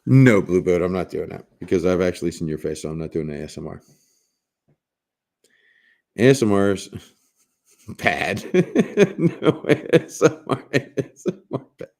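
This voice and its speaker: A man with a raspy smoker's voice hosting a casual livestream, joking with viewers and rambling through restarts with bursts of laughter. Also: low-pitched, big pitch swings, unhurried, pauses often, a little mellow. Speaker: livestream host.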